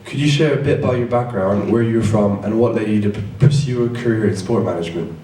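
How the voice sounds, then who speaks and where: A man's voice: on the low side, fast, warm tone. A young man working as an interviewer, reading out prepared questions. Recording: echoey room, distant mic, faint noise.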